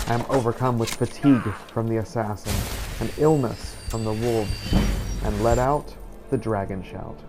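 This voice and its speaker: A man narrating in character as a weary, wounded adventurer, solemn and halting, in a scripted journal style. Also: on the low side. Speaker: gaming video creator narrating a role-play playthrough. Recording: clearly noisy background ambience.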